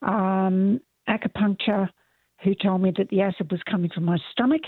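A woman with a croaky, hoarse voice, calling in to a radio show, listing her problems with many fillers. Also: medium pitch, steady tone, normal speed, dry recording. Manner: lists details with many fillers. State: frustrated. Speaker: radio caller. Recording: phone line.

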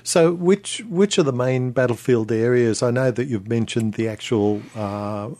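A man hosting a radio interview, putting conversational questions in a hesitant, halting way. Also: medium pitch, a little mellow.

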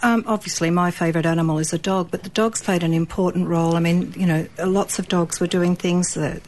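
Earnest Australian woman, lowish voice. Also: steady tone, brisk, darkish tone, slight background noise. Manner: earnest, explanatory, many ums and restarts. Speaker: pet expert guest. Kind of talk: radio interview.

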